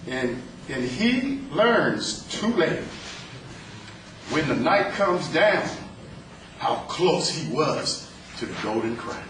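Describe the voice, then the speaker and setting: A man in his fifties with a loud voice, reciting a poem to a rally. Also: on the high side, sing-song. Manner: loud, declaiming with slow emphatic delivery. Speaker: rally speaker. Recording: echoey, distant, with clear background noise.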